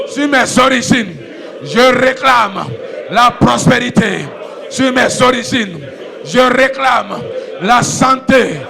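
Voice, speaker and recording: Pastor from Ivory Coast, very high gravelly voice, slow, chant-like declarations in a church. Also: male voice, pushed and bright, a faint crowd. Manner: repetitive, commanding, chant-like. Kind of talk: shouted prayer declarations. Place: church sanctuary.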